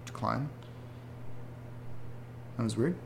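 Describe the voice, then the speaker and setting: A man's voice: medium pitch, lively intonation, slow pace, creaky, noisy background. An actor playing an anxious young man who talks hesitantly and rambles, in a horror audio drama. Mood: irritated. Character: anxious young man receiving strange photos.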